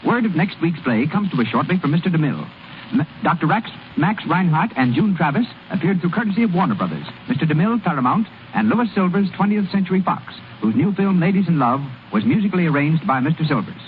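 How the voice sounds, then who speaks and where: A man's voice: on the high side, very animated, fast, a little hiss or hum. A man announcing from a script in the style of 1930s network radio. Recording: tinny 1930s radio recording.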